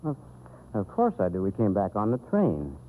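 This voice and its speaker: A man's voice: on the low side, wildly swooping pitch, moderate pace, slight background noise. A man playing the straight man in a 1930s radio comedy, explaining things with patient exasperation. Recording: tinny 1930s radio recording, music.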